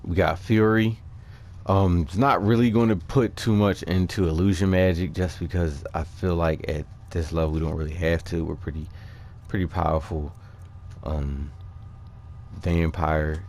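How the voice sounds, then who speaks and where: A man with a low-pitched voice presents a gaming video as its host. He speaks informally and conversationally, as if to regular viewers. His delivery is a little hesitant as he casually explains things over game footage. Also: steady tone, measured pace, darkish tone, a little hiss or hum. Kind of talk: casual game build commentary. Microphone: voice-over on a microphone over game capture.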